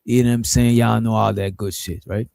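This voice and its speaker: A man's voice: lowish voice, steady tone, a little fast, gravelly, darkish tone, dry recording, clean studio sound. A man hosting a casual stream, reacting to a clip in a laid-back, profane, rambling way.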